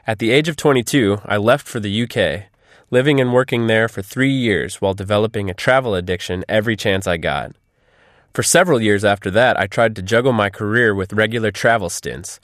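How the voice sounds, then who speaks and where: A man with a fairly low voice plays the guest in an interview. He delivers prepared, read-aloud answers in a dry recording with no echo. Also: a little fast, fairly bright tone.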